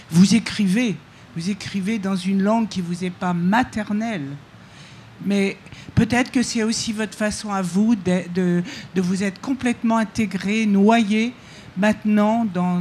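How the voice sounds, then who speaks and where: An audience member praising a writer in an earnest, admiring way, pausing now and then. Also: mid-range voice, darkish tone, slight background noise. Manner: earnest, admiring, slightly halting.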